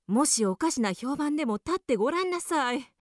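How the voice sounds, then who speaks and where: A woman's voice: sits high, expressive, a little fast, no echo, clean studio sound. An actress playing an anxious, prim wife and mother with a scolding, proper way of speaking in a radio drama. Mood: stern. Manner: scolding, proper feminine diction.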